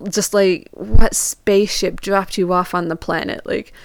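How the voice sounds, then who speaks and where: A woman's voice: medium pitch, steady tone, measured pace, creaky, no room sound. A young woman telling personal stories in a casual, chatty way, with informal phrasing and quoted bits of conversation. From Portugal and England. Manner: informal, peppered with "like", acts out quoted questions.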